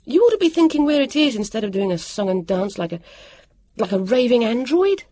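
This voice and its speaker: A woman voicing a spirited, sharp-tongued, excitable young girl with urgent delivery in a storybook reading. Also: fairly quick, breathy edge. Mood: snappish. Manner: high, urgent, emphatic.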